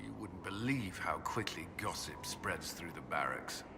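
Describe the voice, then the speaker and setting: Military commander, low voice, earnest, hesitant in love, video game drama, noisy ambient background. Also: male voice, expressive. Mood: tender, low. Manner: hesitant and halting in intimate talk. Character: earnest, soft-spoken male military commander, awkward in love.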